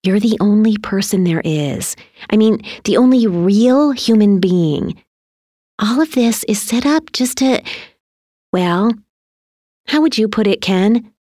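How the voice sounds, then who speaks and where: A soft-spoken, soothing mother, acted by a female narrator in a storybook. Her voice is lifted and careful, apologetic and hesitant, recorded close and dry. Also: medium pitch, expressive, slow, no echo, voice pushed up. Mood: soft, explaining. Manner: soft, gentle, hesitant explaining.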